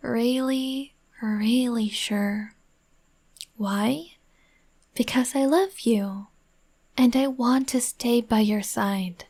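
Woman, slow breathy whisper, gentle comforting partner roleplay. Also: on the high side, steady tone. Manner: soft soothing whisper.

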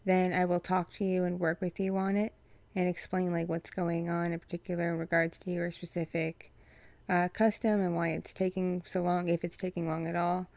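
A young woman with a froggy, hoarse voice from an infection, giving a rambling, frank update to her supporters. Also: lowish voice, flat delivery, normal speed, a little mellow, dry recording. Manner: rambling, frank, many fillers. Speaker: content creator addressing patrons.

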